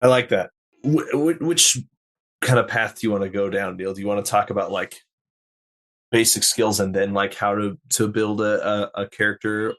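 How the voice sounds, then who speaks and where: A man with a lowish voice hosts a podcast. His delivery is casual and conversational, like a chat among friends. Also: normal speed, fairly bright tone. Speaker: podcast host.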